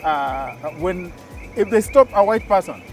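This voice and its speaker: A man's voice: sits high, lively intonation, measured pace. A man co-hosting a talk show, assertive with frequent fillers. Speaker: show co-host. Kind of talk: studio discussion. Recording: voice distant from the mic. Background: music.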